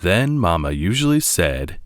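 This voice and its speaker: A man narrating a children's storybook as a read-along, with a deliberate, expressive delivery. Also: deep voice, dry recording, clean studio sound.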